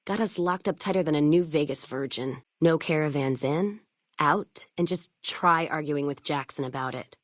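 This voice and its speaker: A tough female caravan boss from a video game, pitched low for a woman. She is blunt and sardonic, swears freely and sounds worn down by a hard-drinking life. The sound is dry, with no room to it. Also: moderate pace, dry recording. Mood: stern, blunt. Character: tough, hard-drinking, foul-mouthed, world-weary female caravan owner. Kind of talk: video game character dialogue.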